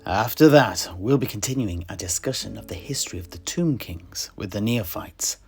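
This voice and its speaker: A man's voice: lowish voice, expressive, moderate pace, a little mellow. A man playing a courteous, scholarly tavern keeper and amateur historian who hosts a fantasy audio drama, speaking in polite, wordy phrases. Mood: hospitable. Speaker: host. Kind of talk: in-character fantasy audio roleplay. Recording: music behind the voice.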